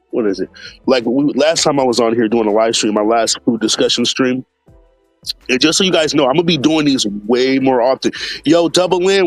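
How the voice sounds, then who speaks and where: A relaxed man hosts a casual live stream at a mid pitch, loose and slangy, full of fillers as he greets viewers by name. He sounds a little stuffed up from allergies. The recording is close and dry, with faint music playing underneath. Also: no echo.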